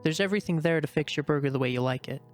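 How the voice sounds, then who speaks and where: A man voicing a shy, earnest young female rabbit in an amateur dramatic reading, speaking softly and from the heart. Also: fairly high pitch, racing, soft background music, lightly acted. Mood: warm. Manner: soft, heartfelt, anxious inner murmuring. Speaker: voice actor in a fan reading.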